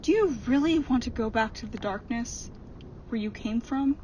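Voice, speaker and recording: A stern otherworldly woman, voiced by an actress with a high voice, admonishing in a measured way. It is horror radio play acting with faint noise behind. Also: a little mellow, lo-fi sound, natural voice. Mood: stern warning. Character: stern female overseer warning a wayward succubus. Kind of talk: audio horror drama acting.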